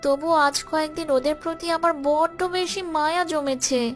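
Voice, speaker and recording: A woman voicing an anxious, protective cartoon mother hen who scolds fretfully but has a soft heart. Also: sits high, fairly even intonation, normal speed, soft background music, close to an everyday voice. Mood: fretful, caring. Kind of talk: children's cartoon voice acting.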